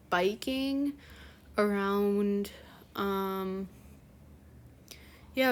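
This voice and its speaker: Teen girl, casual vlog chat, rambling, self-correcting, mid pitch. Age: teens. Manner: rambling, chatty, many fillers and self-corrections. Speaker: fanfiction channel host.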